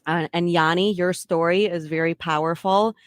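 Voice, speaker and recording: A woman's voice: on the low side, fairly even intonation, normal speed, smooth, no room sound, clean studio sound. A woman hosting a podcast interview in a casual, chatty way, often restarting her phrases. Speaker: podcast host.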